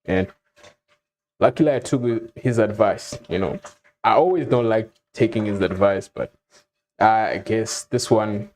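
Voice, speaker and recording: A young man from Zimbabwe talks casually about himself, pausing often on ums and breaking into small chuckles. His voice sits on the low side. A faint hum runs under the recording. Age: young adult. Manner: relaxed, frequent ums, chuckles while telling. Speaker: vlogger. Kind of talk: casual personal storytelling.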